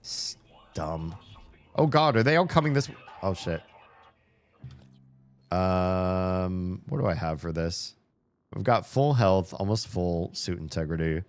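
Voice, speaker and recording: A young man giving casual, profane running commentary while playing a video game, his voice slightly hoarse. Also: deep voice, animated, slow pace, pauses often. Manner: chatty, swears a lot, talks to himself while playing.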